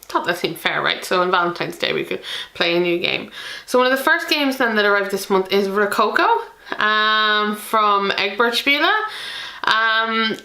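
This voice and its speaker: Bright, chatty Irish woman. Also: mid-range voice, normal speed, echoey room. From Ireland. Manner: chatty, self-deprecating, lots of ums. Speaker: board game channel host. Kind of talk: chatty solo video to camera.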